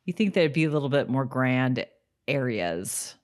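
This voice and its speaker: A woman's voice: extremely low pitch, sing-song, moderate pace. An American woman chatting informally as co-host of a TV rewatch podcast, peppering her sentences with filler words. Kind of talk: casual TV rewatch podcast chat.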